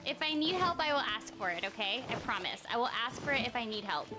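Young woman game streamer, high lively voice, chats playfully w viewers n characters, game music in back. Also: quick pace. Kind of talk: casual livestream chat while gaming.

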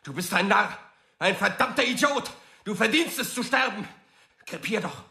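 Furious anguished man in a radio play, sharp gravelly voice, taunting, roomy echo. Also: sits high, lively intonation, moderate pace, heavily acted. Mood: furious, desperate. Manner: agitated, taunting, swinging from hushed to shouting. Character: anguished, furious man confronting his tormentor. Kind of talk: radio drama acting. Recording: roomy, echoing interior.

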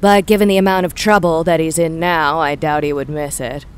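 A woman's voice: low voice, fairly even intonation, a little fast, slight background noise, natural voice. A woman voicing a dismissive, matter-of-fact male military officer in a space audio drama.

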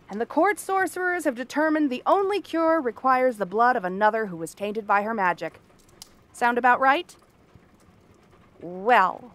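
A woman playing a cranky, cursed frog-girl princess in an ASMR fantasy roleplay, haughty, sardonic and theatrical with a soft spot underneath. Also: on the high side. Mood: mocking, dismissive.

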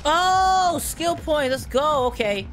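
A man's voice: extremely high pitch, lively intonation, slow, fairly bright tone. A man with a Brooklyn accent giving casual, joking commentary while playing a game on a live stream. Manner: chatty, joking. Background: game music.

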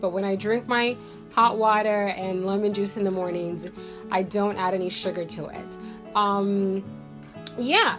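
Congested woman chatting to camera. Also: medium pitch. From Jamaica. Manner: chatty, rambling, many "like" and "um" fillers. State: congested from a cold. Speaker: beauty vlogger. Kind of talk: casual chat to camera. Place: bedroom at home, indoors.

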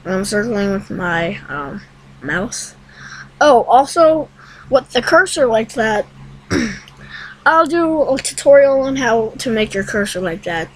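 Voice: mid-range voice, very animated, slow pace, fairly bright tone, slight background noise, low-quality recording. A young teenager hosting a casual screen-recorded tutorial in a rambling, hesitant way. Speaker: tutorial host. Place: room at home.

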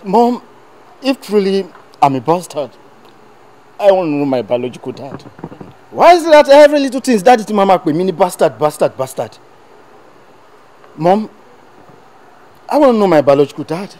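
Casting: a young man as a desperate son, rather high voice, pleading and insistent. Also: very animated, moderate pace, pauses often, mellow. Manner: pleading, repetitive, code-switching. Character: frustrated young adult son who loves music.

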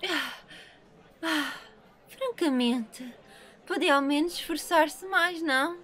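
A woman's voice: high-pitched, slow pace, a bit bright, a faint crowd. A woman with a European Portuguese accent voicing a bored, scheming young woman teacher who feigns sweetness in an anime fan dub. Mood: tipsy, whiny.

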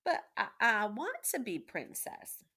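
A woman puts on a naive, neglected servant girl from a storybook. She is blunt and rustic, loud and stubborn in her longing. Her voice jumps high, with animated swings and a bright, forceful edge. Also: a little slow, exaggerated voice. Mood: pleading. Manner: loud, rustic, ungrammatical speech. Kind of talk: storybook read-aloud character voice.

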